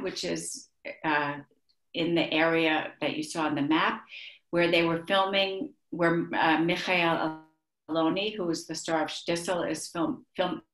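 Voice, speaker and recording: A woman's voice: fairly low pitch, fairly bright tone. A middle-aged woman with an Israeli accent, a guest author in an online interview, who talks with many restarts and laughs easily. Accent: Israeli-accented English. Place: home room with bookshelves. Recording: echoey room.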